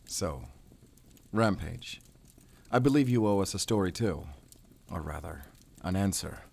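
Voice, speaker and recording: Grim, determined werewolf hunter, low-pitched, brooding and terse, measured audio drama delivery. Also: male voice, lively intonation, vocal fry, darkish tone, full character voice. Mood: probing. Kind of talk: cinematic audio drama acting.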